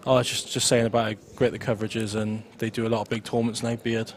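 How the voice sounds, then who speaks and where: A man co-commentating on a pool match in a casual, conversational way, often pausing with ums. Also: on the low side, flat delivery. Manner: hesitant, many ums, conversational. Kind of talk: casual live-stream commentary.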